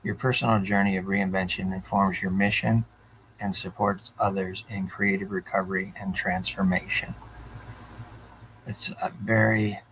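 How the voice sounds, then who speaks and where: Flat, low-ish male podcast host. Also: a little hiss or hum, rough recording. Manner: measured, steady, with short affirmations. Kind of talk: interview introduction and questions.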